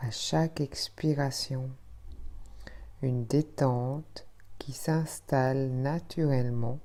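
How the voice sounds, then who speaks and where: A woman leads a guided relaxation as a yoga teacher. Her voice is low and soft-toned, hushed and soothing. She speaks extremely slowly and in a measured way, gently repeating her phrases.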